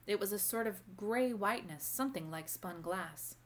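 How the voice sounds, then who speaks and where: A woman's voice: medium pitch, normal speed. A woman narrating a children's novel as a read-aloud. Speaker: storybook reader.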